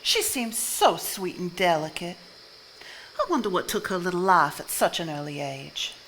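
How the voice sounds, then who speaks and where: An actress playing a wistful, tender 1950s wife in a live stage play, speaking affectionately. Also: female voice, fairly low pitch, big pitch swings, normal speed, a bit bright, low-quality recording. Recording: live stage recording with faint noise.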